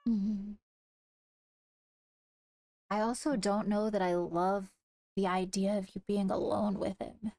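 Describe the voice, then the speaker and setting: A woman speaking in a measured, deliberate way with formal wording, improvising in-character roleplay dialogue. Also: medium pitch, steady tone, warm tone, dry recording. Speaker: roleplayer in a Minecraft court drama.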